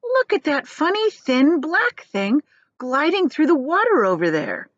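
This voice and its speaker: A cocky, excitable centipede from a children's tale, performed by a woman. The voice is pitched up and lively, full of urgent bluster. It sounds dry and slightly muffled. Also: a little slow, no room sound, heavily acted. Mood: excited. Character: brash, excitable centipede, bluffing through fear. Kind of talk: children's storybook character voice.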